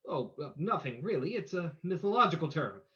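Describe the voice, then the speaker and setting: A woman playing a composed, inquisitive gentleman sleuth in an old-time radio drama, speaking in a measured, probing way. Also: low voice, sing-song, exaggerated voice. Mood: curious, suave. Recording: dull, lo-fi sound.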